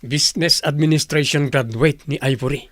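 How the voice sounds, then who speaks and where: Man playing a higher-voiced Ilocano office buddy. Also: moderate pace, dry recording, studio quality, close to an everyday voice. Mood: explaining. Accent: Filipino, Ilocano. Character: male friend and colleague, matter-of-fact confidant. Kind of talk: Filipino radio drama acting.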